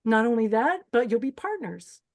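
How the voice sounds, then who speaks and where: A deranged beauty pageant hostess played by a woman in a horror table read, pitched on the high side. She is bossy and threatening, handing out orders with menace. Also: big pitch swings, moderate pace, a little mellow. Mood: bossy, sardonic. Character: menacing, deranged female pageant host ordering contestants around.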